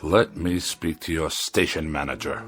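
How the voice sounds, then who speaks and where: Pompous, irascible middle-aged man, sharp-voiced. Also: rumbling low, fairly even intonation, normal speed. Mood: scornful. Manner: haughty, indignant, sarcastic, biting. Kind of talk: radio drama acting.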